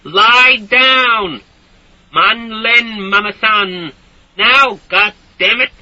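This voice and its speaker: A man shouting as a hot-tempered young soldier. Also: drawn-out words, highs cut off, full character voice. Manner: shrill furious shouting.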